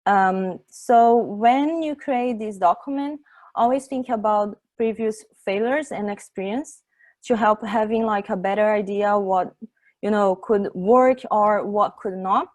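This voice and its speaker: A woman in her thirties from Brazil with a Brazilian Portuguese accent, giving an informal tech meetup presentation in a chatty way, often pausing on "um" and checking in with "right?". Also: mid-range voice, a little mellow. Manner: chatty, frequent "um" and "right?" tags.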